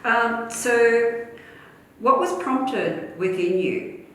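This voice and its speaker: A woman's voice: fairly high pitch, animated, very slow, fairly bright tone. Friendly woman interviewer, distant and echoey. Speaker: interviewer, host. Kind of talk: casual interview questions. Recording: echoey room, off-mic.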